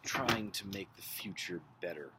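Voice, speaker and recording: A man's voice: deep voice, normal speed, heavy background noise. A man casually introducing a book passage he is about to read aloud. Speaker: amateur reader.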